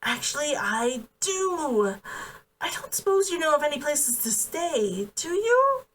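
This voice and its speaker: A woman's voice: high voice, very animated, a little slow, fairly bright tone, voice pushed up, heavily acted. A woman reading the part of a proud, defiant and playful young woman in a novel, in an emphatic, teasing manner. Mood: wry, playful. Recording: distant from the mic.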